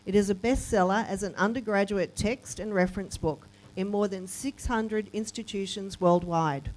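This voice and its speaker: A woman's voice: medium pitch, steady tone, moderate pace, a little mellow, low-quality recording. Woman councillor reading a formal introduction. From Australia. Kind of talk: reading a prepared profile.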